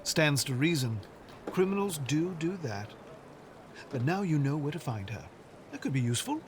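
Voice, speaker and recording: Earnest, lilting gentleman. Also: fairly high pitch, very animated, a little hiss or hum. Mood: encouraging. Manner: polite, measured phrasing. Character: earnest, observant gentleman, solicitous and well-spoken. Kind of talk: audio drama acting.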